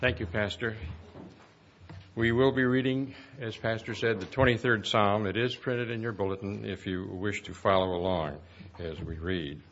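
A man reading scripture aloud at a memorial service in a measured, formal way. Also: lowish voice, fairly even intonation, fairly bright tone, highs cut off, slight background noise. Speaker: church board vice chairman reading scripture.

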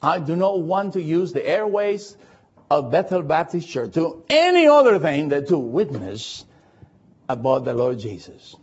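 Husky older preacher with a foreign accent. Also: male voice, a little high, sing-song, unhurried, a little mellow, lo-fi sound. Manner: emphatic repetition of short phrases. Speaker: Baptist pastor preaching. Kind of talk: expository sermon with personal anecdotes.

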